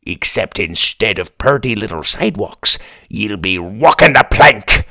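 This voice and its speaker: A man voicing a gruff, bossy pirate storybook that talks in pirate slang and growls threats, read close and dry. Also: fairly low pitch, animated, a little slow, pushed and bright, no echo, full character voice.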